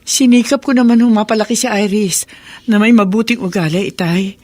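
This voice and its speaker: A woman's voice: medium pitch, steady tone, a little fast, darkish tone, lightly acted. A woman acting a weary, earnest mother of a wayward daughter in a radio drama. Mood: regretful.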